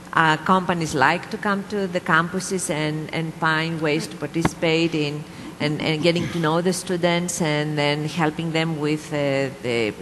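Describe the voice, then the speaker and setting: A man on a panel answering a question about student internships in a measured way, often restarting phrases. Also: on the high side, fairly bright tone. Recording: echoey hall with faint noise.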